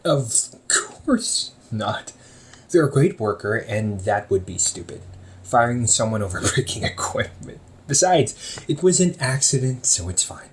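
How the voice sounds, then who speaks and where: A man's voice: medium pitch, big pitch swings, a little slow, a little hiss or hum. A man playing a teasing, easygoing older brother. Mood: casual. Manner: chatty, teasing, laughs often. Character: easygoing young man, older brother running a hobby shop. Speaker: audio roleplay performer. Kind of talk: one-sided audio roleplay to the listener.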